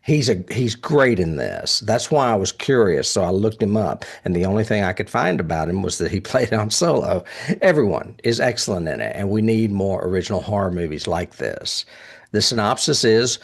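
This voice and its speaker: A man's voice: on the low side, sing-song, normal speed, vocal fry, husky. A man hosting a casual film review chat, speaking with enthusiasm and often chuckling. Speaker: podcast host reviewing horror films.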